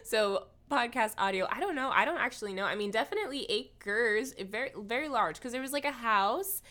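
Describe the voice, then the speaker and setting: A woman's voice: fairly high pitch, animated, brisk, pushed and bright. A woman hosting a podcast interview in a casual, chatty way, often saying "like" as she goes. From Hawaii. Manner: informal, chatty, frequent "like". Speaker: podcast host interviewing a guest.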